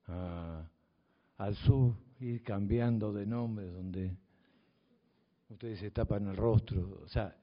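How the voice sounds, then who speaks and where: An older man from the Southern Cone with a Southern Cone Spanish accent gives a reflective, provocative public talk to an audience as a mathematics teacher. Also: fairly low pitch. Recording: dull audio from a reverberant hall.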